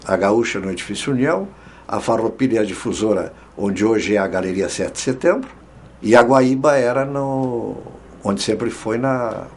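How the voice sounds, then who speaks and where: Older man reminiscing in a husky voice. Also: on the low side, normal speed. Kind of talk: interview recollection.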